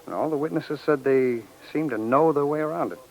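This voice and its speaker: An actor playing a businesslike investigator in a 1950s radio crime drama, reporting in a clipped, matter-of-fact way. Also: male voice, on the high side, normal speed, creaky. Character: brisk businesslike male federal investigator giving case reports. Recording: noisy old radio recording.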